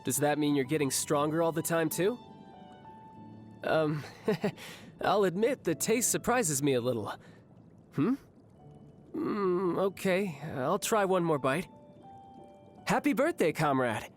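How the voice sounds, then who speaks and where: A man's voice: on the high side, animated, slow, long pauses. An actor voicing a cocky, playful, battle-hungry young male warrior for a video game, with a breezy, boastful, theatrical delivery. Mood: cheerful, breezy. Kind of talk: video game character voice lines. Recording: music behind the voice.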